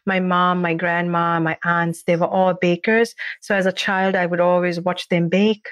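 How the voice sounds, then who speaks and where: A woman from Sri Lanka, a baker and cafe owner, answering interview questions in a passionate, halting way. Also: lowish voice, little pitch movement, no echo. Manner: warm, passionate, halting with fillers.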